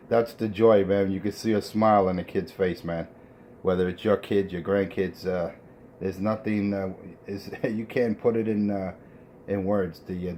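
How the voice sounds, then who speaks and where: A man's voice: low voice, a little mellow, slight background noise. A man chatting casually over the radio, affirming and ending lots of phrases with "man". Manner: says "man" often, affirming. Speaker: radio contact.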